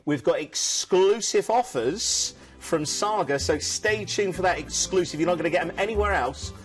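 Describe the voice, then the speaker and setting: A man around forty, talking fast and enthusiastically as he pitches cruise deals on a live shopping show. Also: music in the background. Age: 40s. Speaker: cruise sales co-presenter.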